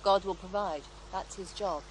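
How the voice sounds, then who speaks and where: An actress playing a serene, devout faith healer in a radio drama, reflective and gently assured as she tells her story. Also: medium pitch, moderate pace, a little hiss or hum, natural voice. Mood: serene conviction. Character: serene devout female faith healer, former child therapist, wistful. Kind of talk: naturalistic radio drama acting.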